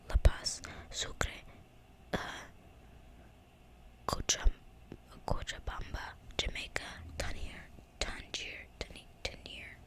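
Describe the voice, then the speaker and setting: A woman speaks in a soft, breathy, intimate whisper, reading a book aloud in ASMR style with casual asides. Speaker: ASMR video creator. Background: thunderstorm outside the window.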